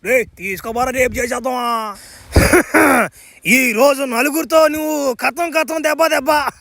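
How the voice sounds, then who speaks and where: A man doing an extremely high-pitched thug. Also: fairly even intonation, unhurried, vocal fry, fairly bright tone, no room sound, exaggerated voice. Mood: threatening. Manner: taunting, bullying, coarse. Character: leering, menacing village thug. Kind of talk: comedy cartoon dialogue.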